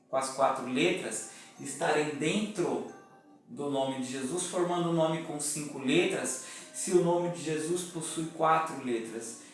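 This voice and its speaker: Slow high-voiced Brazilian preacher, echoey. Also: male voice. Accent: Brazilian Portuguese. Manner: deliberate, spells out letters one by one. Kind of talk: sermon teaching. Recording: echoey room, mic at a distance, faint music.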